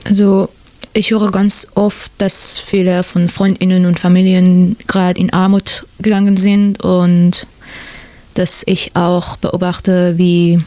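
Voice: mid-range voice, level tone, slow, a little mellow, no echo. A native Persian speaker from Iran with a Persian accent, a guest in a radio discussion who searches for words. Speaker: interview guest. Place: radio studio.

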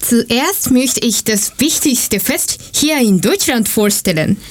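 Woman, co-presenter, careful simple phrasing, slow. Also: a little high, animated. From Japan. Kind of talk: scripted segment reading, learner German.

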